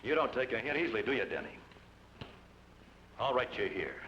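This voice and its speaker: Sarcastic outlaw, bright lively voice, muffled old radio. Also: male voice, mid-range voice, fairly quick, slight background noise. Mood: curt. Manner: curt and sarcastic. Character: cold hard outlaw older brother. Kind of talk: 1950s radio drama acting.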